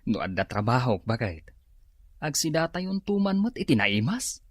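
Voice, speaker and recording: A cheerful, jobless Ilocano husband in a radio comedy. His mid-pitched voice bounces up and down as he chats, easygoing and eager, in a close dry recording. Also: big pitch swings, moderate pace, no echo. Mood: casual, hopeful.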